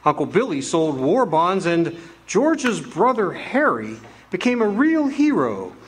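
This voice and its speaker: A man's voice: high-pitched, sing-song, unhurried, slightly rough, exaggerated voice. A man playing a kindly senior angel who narrates the story in an amateur stage radio drama, in a folksy storytelling manner.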